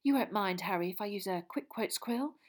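A woman's voice: mid-range voice, animated, brisk, close to an everyday voice. A woman voicing a gushing, predatory female gossip journalist with a sugary, coaxing manner.

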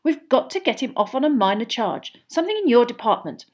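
A woman voicing a flustered middle-aged male official, talking urgently and fast. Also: on the high side, very animated, darkish tone, voice pushed up.

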